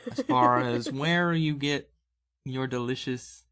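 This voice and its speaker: A man's voice: mid-range voice, unhurried, fairly bright tone, clean tone. A man speaking informally and conversationally as a podcast host and dungeon master. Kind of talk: casual banter and game recap.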